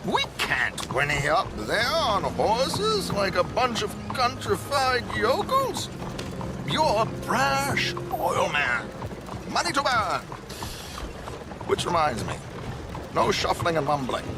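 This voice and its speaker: Flamboyant, high-voiced gentleman schemer. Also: wildly swooping pitch, slow, loud music behind the voice, heavily acted. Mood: showy, coaxing. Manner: theatrical, grandiose, coaxing. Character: flamboyant, scheming male gentleman con artist. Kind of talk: video game cutscene acting.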